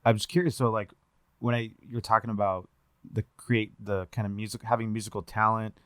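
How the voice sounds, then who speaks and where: Middle-aged dude hosting, smooth low voice, dry close mic, jokes about himself. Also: male voice, fairly even intonation, a little fast, no echo. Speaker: podcast host. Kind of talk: casual interview chat.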